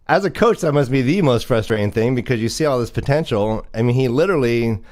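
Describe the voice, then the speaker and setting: A man's voice: medium pitch, animated, fast talker, darkish tone. A man hosting a casual fantasy football livestream, chatting away and putting questions to his co-host.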